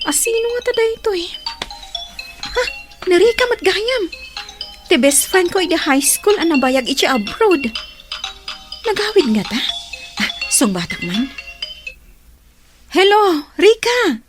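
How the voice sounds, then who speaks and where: A woman's voice: extremely high pitch, sing-song, measured pace, long pauses, exaggerated voice. A woman with an Ilocano accent playing a hardworking young woman with a deceitful boyfriend in a radio drama. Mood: pleased, hesitant. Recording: music behind the voice.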